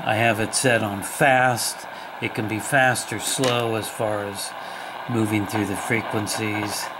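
Male hobbyist demonstrating gear casually, slow and plain-spoken, heavy shortwave hiss throughout. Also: on the low side, steady tone. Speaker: shortwave radio hobbyist presenter. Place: indoors at a wooden table. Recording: loud radio static and hiss. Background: shortwave radio static, tones and ticking.

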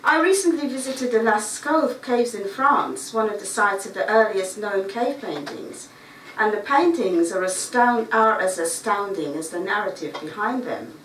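A middle-aged woman from Guyana with a Guyanese accent, reading a prepared talk in a measured, reflective way with a few chatty asides. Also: mid-range voice, lively intonation, fairly bright tone, slight background noise. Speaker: writer giving a talk. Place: small dark stage before a seated audience. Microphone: stand mic, filmed by a far camera. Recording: roomy, distant camera recording.

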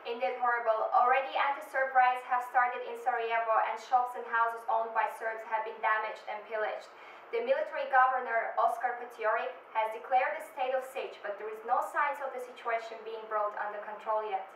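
A woman's voice: a little high, fairly even intonation, fairly quick, a bit bright. A young woman giving a scripted correspondent's news report, recorded in a room from some distance. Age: young adult. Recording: roomy, distant mic, faint noise.

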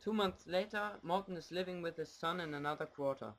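A man's voice: a little high, a little fast. A young man from Germany giving scripted narration for an amateur radio play.

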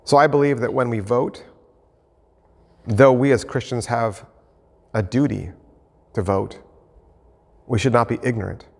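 A man in his fifties, an American pastor, preaching an earnest, measured sermon to camera from an empty church sanctuary. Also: mid-range voice, steady tone, creaky. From United States. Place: empty church sanctuary, indoors, mid-sized. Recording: reverberant church hall.

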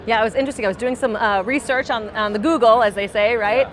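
A woman's voice: a little high, fast talker, background noise. A woman in her forties hosting an upbeat, conversational trade show interview. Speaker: interview host. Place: trade show booth set, indoors.

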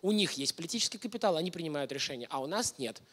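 Male presenter, thirties, voice a little high; informal talk to a room, question-led delivery. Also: animated, very fast, fairly bright tone, husky. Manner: informal, engaging listeners with questions. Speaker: lecturer giving a masterclass. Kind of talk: conversational lecture to an audience. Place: indoor hall, on stage before a projection screen.

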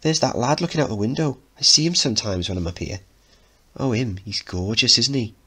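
A man's voice: medium pitch, fairly quick, sharp tone, husky, voice pushed up. A man voicing a brash, teasing, impatient working-class teenage girl in a dramatic play reading, pert and slangy. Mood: teasing admiration.

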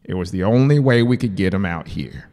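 A man voicing a folksy, emotional uncle in an audiobook, making a halting, heartfelt speech to a party. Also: low-pitched, animated, mellow, big-room sound. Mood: jovial, nervous. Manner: halting, heartfelt public address. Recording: music playing behind the voice.

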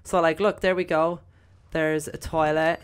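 A young woman with a slightly croaky voice, chatting casually through gameplay commentary with lots of fillers. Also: low-pitched, little pitch movement, unhurried. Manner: chatty, rambling, many fillers. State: croaky, voice recovering. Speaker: gaming video host.

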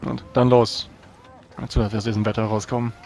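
Lowish-voiced man playing a tabletop game. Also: little pitch movement, quick pace, gravelly, a little mellow, slight background noise. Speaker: role-playing game player. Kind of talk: casual in-character play and narration.

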